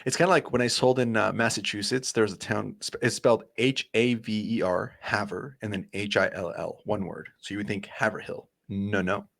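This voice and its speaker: A man's voice: on the low side, steady tone, moderate pace, creaky, few pauses, fairly bright tone, dry recording. A man hosting a casual podcast interview, eager and chatty, often restarting his sentences. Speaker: podcast host.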